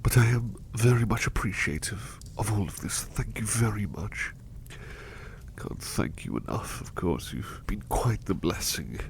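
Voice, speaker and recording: Guy doing a poorly butler in bed, low gravelly whispers, up and down a lot, super polite and hesitant. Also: lively intonation, a little slow, slight background noise. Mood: gentle, grateful. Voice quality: soft and whispery. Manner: courteous and formal, hesitant restarts. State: unwell, resting in bed. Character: sickly polite young male butler. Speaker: voice actor. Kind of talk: ASMR roleplay.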